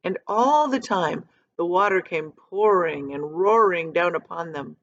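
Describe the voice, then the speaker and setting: A woman reading a children's book aloud like a teacher, expressive and dramatic, with emphatic pauses. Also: fairly low pitch, muffled recording. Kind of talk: expressive storybook read-aloud.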